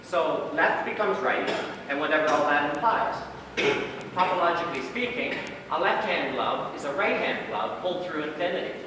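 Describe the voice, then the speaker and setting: A man's voice: on the high side, animated, normal speed, close to an everyday voice. A man on stage playing an analytical police psychologist, lecturing with emphatic instruction. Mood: earnest, lecturing. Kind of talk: live amateur stage play acting. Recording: echoey hall from far off, noisy.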